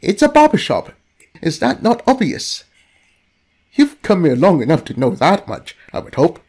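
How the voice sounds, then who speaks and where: Courteous, welcoming male barber for an audio story, played by the narrator, very animated intonation. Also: medium pitch, normal speed, breathy edge, heavily acted. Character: courteous, evasive Sicilian barber, a man.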